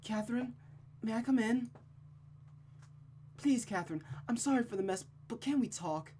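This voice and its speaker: A woman's voice: fairly high pitch, lively intonation, moderate pace, mellow, slight background noise, voice pushed up, close to an everyday voice. A woman voicing an anxious, caring young woman from a visual novel, worried and urgent in manner. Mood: timid, concerned.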